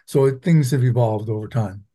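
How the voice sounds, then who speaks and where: A man from Venezuela who teaches public health, answering interview questions in a measured, explanatory way with frequent ums. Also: medium pitch, mellow. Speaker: public health professor, guest.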